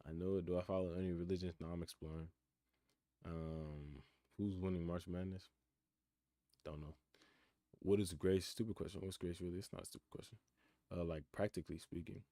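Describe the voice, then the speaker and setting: 19 yr old guy on a computer mic, really deep voice, super dry sound, chill and thoughtful answering chat. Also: steady tone, fairly quick, pauses often, mellow, no echo. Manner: laid-back, reflective, reads chat questions aloud and answers. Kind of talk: casual live-stream chat. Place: his room in college housing.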